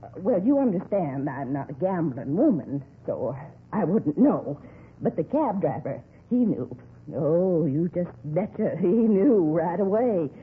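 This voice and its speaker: Casting: gossipy older busybody woman for old-time radio crime drama, very animated, tinny aged sound. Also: high voice, unhurried, husky, exaggerated voice. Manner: rambling, confiding, gossipy. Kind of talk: 1950s radio acting. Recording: thin, noisy vintage radio recording.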